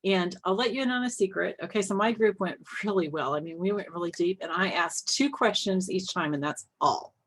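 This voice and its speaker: A woman's voice: lowish voice, fairly quick, hardly any pauses, a bit bright, breathy edge. A woman co-hosting a Bible study discussion, talking casually and candidly. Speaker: Bible study co-host and group leader.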